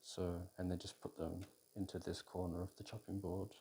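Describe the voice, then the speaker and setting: A British man in an intimate, casual ASMR roleplay as a boyfriend cooking, talking in an unhurried, hesitant way as if thinking aloud. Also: sits low, level tone, mellow, breathy edge. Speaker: audio roleplay performer playing a boyfriend cooking. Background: kitchen food preparation sounds.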